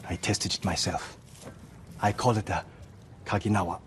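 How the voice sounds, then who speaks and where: A man acting a gentle, humble young blacksmith in a video game cinematic, modest, hesitant and deferential. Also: low-pitched, steady tone, moderate pace, a little hiss or hum, close to an everyday voice. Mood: humble sincerity.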